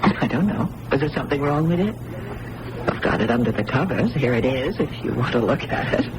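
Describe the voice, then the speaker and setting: Through the noise of an old radio recording comes a bewildered woman patient. Her delivery is animated and girlish, with direct, artless questioning in a radio-drama style. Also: rumbling low, a little fast. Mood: puzzled, insistent. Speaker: actress playing a hospital patient. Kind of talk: 1980s radio drama acting.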